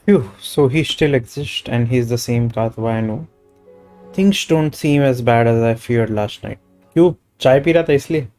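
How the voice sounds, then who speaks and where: Very fast-talking Indian man streaming a game. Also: medium pitch, animated, warm tone. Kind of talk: live gameplay commentary, reading dialogue aloud. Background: game music.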